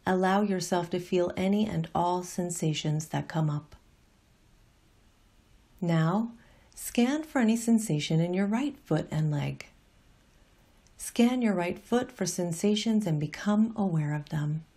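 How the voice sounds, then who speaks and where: Soft-edged, mellow woman guiding meditation. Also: on the low side. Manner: gentle, unhurried, calm instruction. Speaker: meditation instructor. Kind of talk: guided meditation, scripted narration.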